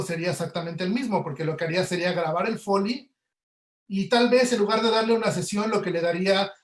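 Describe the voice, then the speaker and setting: Male, Mexican accent, high-pitched voice. Conversational webinar guest, audio professional, recorded with noticeable room echo. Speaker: guest audio producer and Foley artist. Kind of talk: conversational webinar interview answers. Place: indoor room, online webinar call. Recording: roomy, echoey sound.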